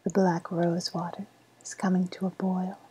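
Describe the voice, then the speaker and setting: A woman playing a sly woodland witch in a softly spoken ASMR roleplay, half-whispering in a deliberate, sweetly ominous manner. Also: on the low side, level tone, husky. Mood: hushed, pleased. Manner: slow, deliberate, hushed, ominous.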